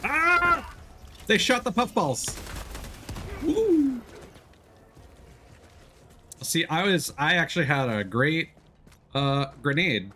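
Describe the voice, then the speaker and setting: A man's voice: fairly high pitch, sing-song, slow pace, long pauses, pushed and bright, a little hiss or hum. A man doing casual, playful live commentary as he plays a game, full of exclamations and little muttered sound effects. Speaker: livestream gamer, host.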